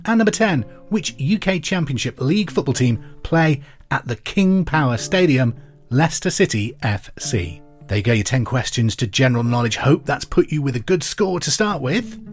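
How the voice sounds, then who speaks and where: A man hosting a pub quiz podcast, reading each question twice in a slow, clear way. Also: fairly high pitch, animated, soft background music. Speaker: quiz host.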